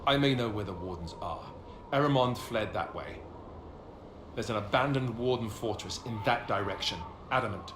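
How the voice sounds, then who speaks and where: Man as a low-voiced, sober fantasy warrior. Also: normal speed, natural voice. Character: grave, sober male warrior of a fantasy order. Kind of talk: dramatic fantasy game dialogue. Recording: echoey, with clear background noise and ambience.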